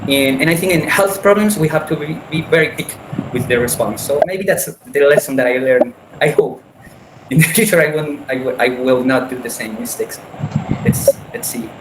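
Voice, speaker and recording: A man sharing a personal story in casual conversation, speaking haltingly with frequent restarts over a video call. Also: mid-range voice. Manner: halting, many restarts and repeated words. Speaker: meeting participant. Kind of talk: casual conversation in English as a second language. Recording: echoey room with background noise.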